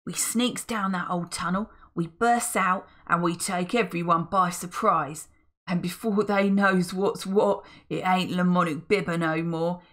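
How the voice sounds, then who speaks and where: A woman's voice: fairly low pitch, fairly even intonation, unhurried, fairly bright tone. A woman voicing a nasty old male villain who boasts in coarse, ungrammatical speech. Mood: scheming.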